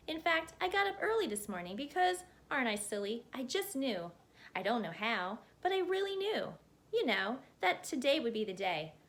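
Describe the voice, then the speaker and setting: A woman voicing an excitable storybook chatterbox man who rambles on breathlessly and keeps interrupting himself. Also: fairly high pitch.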